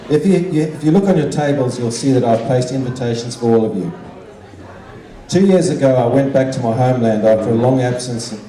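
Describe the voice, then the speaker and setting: Older man, very mellow, echoey room. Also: mid-range voice, brisk. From Zimbabwe. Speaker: guest speaker, art teacher and children's author. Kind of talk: prepared speech to an evening gathering. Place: large indoor function room. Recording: roomy, echoing hall sound, distant microphone.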